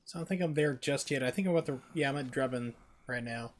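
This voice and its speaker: A man's voice: mid-range voice, fast. A man casually commentating on a video game as he streams it live. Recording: noisy stream with game sound. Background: video game ambience.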